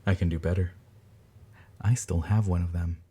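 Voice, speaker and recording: Grieving brother , male actor: dark, raspy voice, low-key determination. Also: fairly even intonation, moderate pace. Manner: quiet, resolute, weary. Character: grieving man searching for his missing sister.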